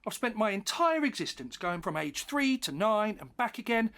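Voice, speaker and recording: An actor playing a cheeky, slightly wounded grown-up imaginary friend, wry and playful, in a comedy audio drama. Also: male voice, high voice, sing-song, normal speed, fairly bright tone, heavily acted. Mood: wounded, reproachful.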